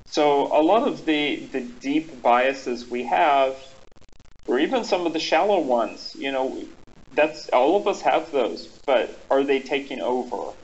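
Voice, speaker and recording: A man's voice: medium pitch, lively intonation, measured pace. Reflective man, dull lo-fi sound. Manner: reflective, many ums and restarts. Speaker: guest expert. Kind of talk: thoughtful conversational explanation. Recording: dull lo-fi audio.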